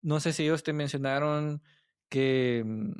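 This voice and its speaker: A man hosting a podcast interview, asking casual questions in halting, tentative phrasing. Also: a little high, smooth, no echo, clean studio sound.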